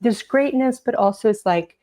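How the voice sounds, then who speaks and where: A woman's voice: on the high side, normal speed, warm tone. A woman guest whose first language is Portuguese, telling stories in a casual interview chat, full of "like" and "you know". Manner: chatty storyteller, lots of "like" and "you know". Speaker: podcast guest.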